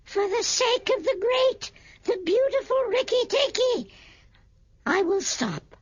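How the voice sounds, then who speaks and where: An excitable little bird, piping extremely high in a slow, swooping singsong. It is boastful and fluttery, a radio play creature on a dull old recording. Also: female voice, no room sound, full character voice. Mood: exultant singsong. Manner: shrill, drawn-out singsong delivery.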